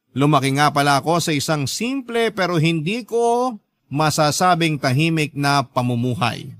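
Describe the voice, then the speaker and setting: A man with a Filipino accent narrating as a radio host in an earnest, measured, dramatic storytelling style. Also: a little high, a bit bright, no echo. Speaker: radio host reading listener letters.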